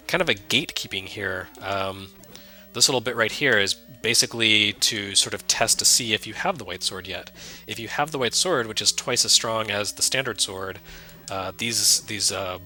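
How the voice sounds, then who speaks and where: A soft-spoken man with a low, very bright and crisp voice talks through a retro game in a live stream. He rambles and restarts sentences, often laughing at his own choices. Faint chiptune music plays under his voice. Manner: rambling, self-deprecating, many fillers and restarts. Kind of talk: casual live-stream commentary.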